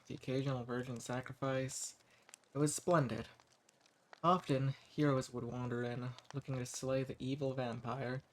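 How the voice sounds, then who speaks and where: A man playing a centuries-old hermit vampire, eccentric and sweet-talking with quiet menace, in a scripted roleplay audio drama spoken to the listener. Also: mid-range voice, lightly acted.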